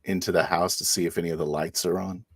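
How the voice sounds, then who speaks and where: A man playing a tabletop roleplaying game, narrating his character's actions haltingly and deliberately. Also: low-pitched, steady tone, husky, no echo, studio quality. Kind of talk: improvised first-person game narration.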